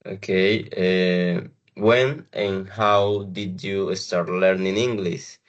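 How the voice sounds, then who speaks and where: A man with a Colombian Spanish accent hosting a student podcast in hesitant, halting phrases. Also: low-pitched, fairly even intonation, highs cut off. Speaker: podcast host and language student.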